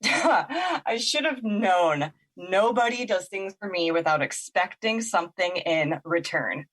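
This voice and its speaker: A woman's voice: on the low side, animated, slow pace, fairly bright tone, heavily acted. Woman acting sarcastic, exasperated young woman. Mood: bitter. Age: young adult. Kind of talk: naturalistic audio drama acting.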